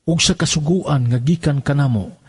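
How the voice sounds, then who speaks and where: A man's voice: on the high side, normal speed, breathy edge. A man solemnly reading scripted scripture as a programme's narrator. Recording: loud music bed behind.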